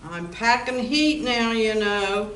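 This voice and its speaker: A tough woman bar owner, played by an amateur actress in a radio play. Her voice is fairly high and very unhurried, with strong room echo. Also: lively intonation, fairly bright tone. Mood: businesslike. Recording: roomy, distant mic, faint noise.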